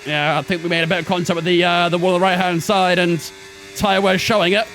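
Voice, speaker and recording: A man's voice: high voice, flat delivery, fast talker, fairly bright tone, a little hiss or hum. A man in his twenties streaming a racing game, giving chatty running commentary and talking to his chat. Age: 20s. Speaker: gaming streamer. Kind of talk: casual live-stream commentary while racing.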